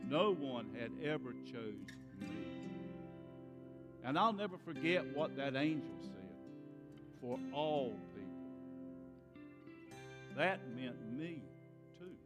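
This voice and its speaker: A man's voice: medium pitch, sing-song, slow, pauses often, big-room sound, over music. A man playing a humble, awestruck shepherd telling the nativity story in a folksy, theatrical church-play monologue. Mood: awed, tender.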